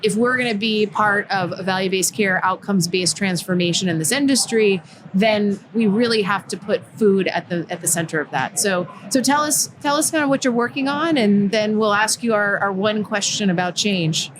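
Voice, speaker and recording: A woman's voice: mid-range voice, normal speed, background noise. A woman hosting a live podcast, enthusiastic and talkative as she introduces her guest. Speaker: podcast host.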